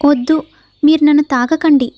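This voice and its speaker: A woman's voice: sits high, fairly even intonation, moderate pace, mellow, no echo, lightly acted. A woman voicing an anxious, earnest young female bird in a cartoon story. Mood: fearful. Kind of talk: cartoon moral story dialogue.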